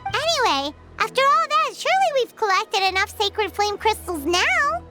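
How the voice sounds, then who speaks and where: A woman's voice: very high voice, very animated, normal speed, loud music behind the voice. A woman playing an eager, cheerful young girl in an anime-style fantasy game. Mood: eager, upbeat.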